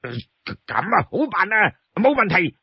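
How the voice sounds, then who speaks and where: A man's voice: sits high, sing-song, measured pace, breathy edge, no room sound, lo-fi sound, voice pushed up, exaggerated voice. A man voicing an impatient, simple-hearted burly outlaw warrior in a story, blustering and stammering his complaints. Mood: grudgingly agreeable.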